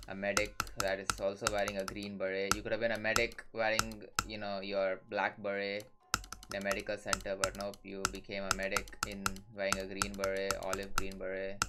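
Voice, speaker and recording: Young man, offhand profane streamer chatter, keyboard typing and mouse clicks clearly audible. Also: on the low side, heavy background noise. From Singapore. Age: young adult. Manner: offhand, profane, mutters to himself between chat replies. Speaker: game streamer. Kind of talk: casual live-stream chatter while gaming.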